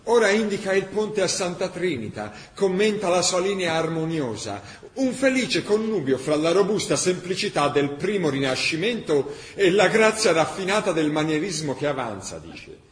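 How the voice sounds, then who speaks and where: A man giving an impassioned, deliberate dramatic narration of a historical chronicle. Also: high voice, big pitch swings. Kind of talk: dramatic scripted documentary narration for radio theatre. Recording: echoey room, faint background noise.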